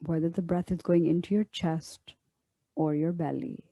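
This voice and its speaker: A woman leading a guided meditation as a teacher, speaking in a gentle, soothing, unhurried way with long pauses. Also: fairly low pitch, steady tone, gravelly, no echo.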